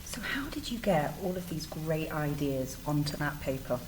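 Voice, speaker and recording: A woman's voice: low-pitched, big pitch swings, normal speed. A woman interviewing in an encouraging, affirming way, like a teacher hosting a school podcast. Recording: faint background noise.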